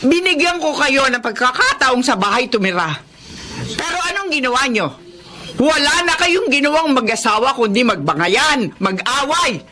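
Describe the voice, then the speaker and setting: A woman's voice: high-pitched, sing-song, normal speed, pushed and bright, full character voice. A woman playing an older widowed mother in a melodramatic Filipino radio drama, firm, reproachful and plain-spoken. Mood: stern. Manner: firm, reproachful, matter-of-fact.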